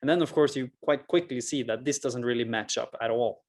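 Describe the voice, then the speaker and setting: A man in his thirties from rural Norway, speaking English with a Norwegian accent as he gives a personal, earnest presentation with deliberate, emphatic pauses. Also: mid-range voice, darkish tone. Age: 30s. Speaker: public speaker, mental health project founder.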